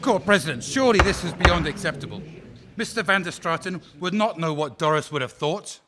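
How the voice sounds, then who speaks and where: A man's voice: high voice, a little fast, heavy background noise, natural voice. A man playing a pointed, accusing barrister. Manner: pointed, accusing cross-examination. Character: accusatory courtroom counsel man, 1940s. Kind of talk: radio drama acting.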